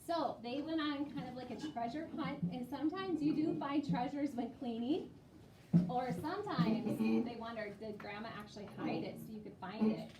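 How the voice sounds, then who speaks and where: Female schoolteacher, high voice, distant and echoey. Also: moderate pace. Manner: instructive, checking in with questions. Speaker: elementary school teacher. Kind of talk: classroom teaching, explaining to young children. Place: indoor classroom. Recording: roomy, distant, noisy classroom.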